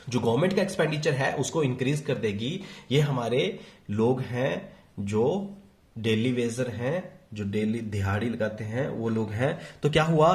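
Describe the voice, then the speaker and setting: A man's voice: mid-range voice, darkish tone. A man with an Indian accent explains exam material as a teacher, mixing Hindi and English, repeating key phrases and posing questions that he then answers himself. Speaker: economics teacher. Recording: echoey, reverberant room sound.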